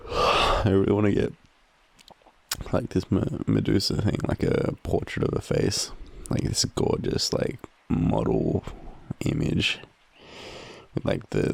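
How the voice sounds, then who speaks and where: A young Australian man in a drowsy, halting, casual boyfriend roleplay, murmuring softly as he rambles through a story with slangy swearing. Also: on the low side, vocal fry, slightly rough, no echo. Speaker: audio roleplay performer playing a boyfriend. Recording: faint background ambient noise.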